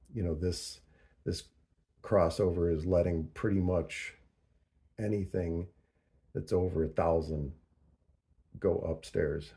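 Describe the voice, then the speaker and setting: Low husky guy doing a diy how-to, mellow, kinda halting, thinking out loud as he goes. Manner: deliberate, halting, working through figures aloud. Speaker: host of a DIY audio tutorial. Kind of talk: casual, thinking-aloud tutorial narration.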